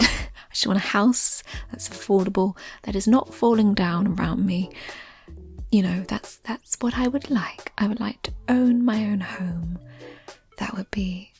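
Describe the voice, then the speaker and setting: Soft, breathy woman in ASMR chat. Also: medium pitch, measured pace. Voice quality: soft, breathy, often near-whispered. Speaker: ASMR creator answering listener questions. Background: music.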